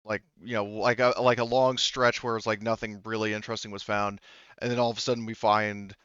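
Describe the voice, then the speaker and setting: A low-voiced man runs a tabletop roleplaying game as game master. He improvises in an informal, halting way, rephrasing as he relays what a character says. The sound is dry and a little muffled. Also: level tone, a bit bright, clear, resonant tone, no room sound.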